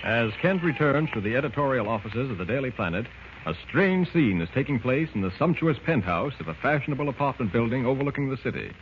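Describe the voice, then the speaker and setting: A man's voice: medium pitch, expressive, fast talker. A man narrating a 1940s radio serial from a script, with dramatic, declamatory announcer delivery. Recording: thin, tinny old radio recording, noise.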